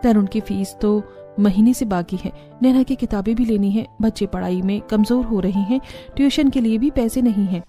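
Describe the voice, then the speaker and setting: A woman's voice: medium pitch, moderate pace, dark tone, faint music behind, natural voice. A woman voicing an earnest, resourceful young village wife and mother in a Hindi cartoon story. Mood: worried.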